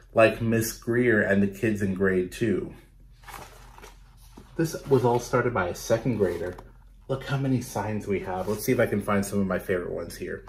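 A man in his thirties reading a picture book aloud to children in an expressive way, talking to them directly. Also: lowish voice, a little hiss or hum. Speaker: storytime reader for children. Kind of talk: animated picture-book read-aloud with asides to young viewers. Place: small bedroom at home, indoors.

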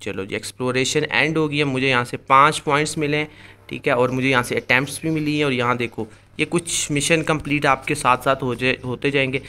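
A man's voice: medium pitch, fairly even intonation, brisk, sharp tone, smooth. A man hosting a gaming video, casually explaining things straight to his viewers as he goes. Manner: explanatory, addresses viewers directly. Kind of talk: casual screen-recorded game commentary.

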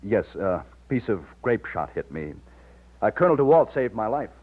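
An actor in an old radio drama playing an earnest, loyal, plain-spoken overseer from Texas. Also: male voice, lowish voice, sing-song, normal speed, breathy edge. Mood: admiring, modest. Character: earnest, loyal Texan plantation overseer and war veteran. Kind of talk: old-time radio drama acting with narration. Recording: muffled lo-fi old radio recording.

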